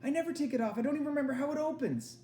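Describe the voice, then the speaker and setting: A man's voice: very high voice, very fast, dark tone, echoey room, voice pushed up. A man voicing a frightened young girl, urgent and anxious. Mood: panicked.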